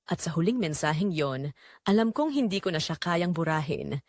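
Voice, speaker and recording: A woman's voice: on the low side, very animated, moderate pace, darkish tone, no room sound, clean studio sound. A woman narrating a first-person romantic drama in an intimate, dramatic way. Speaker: audio story narrator.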